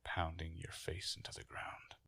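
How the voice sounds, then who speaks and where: A man voicing a towering goliath warrior in a tabletop game, blunt, unhurried and calmly menacing, with a deep gravelly voice. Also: in a whisper, full character voice. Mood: calm menace.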